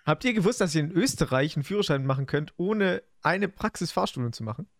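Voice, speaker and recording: A man's voice: fairly high pitch, expressive, moderate pace, no echo, clean studio sound. A young man chatting casually with friends as a podcast co-host, talking in colloquial slang. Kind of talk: casual chat among friends.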